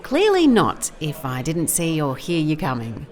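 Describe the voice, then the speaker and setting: A woman's voice: low-pitched, huge pitch swings, a little slow, a little mellow, heavily acted. A woman playing a wry, methodical private investigator in an audio drama, musing and dictating case notes in a dry manner. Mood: flustered. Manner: dry, musing, clipped note-taking. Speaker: lead actor in audio drama. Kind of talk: first-person audio drama case log.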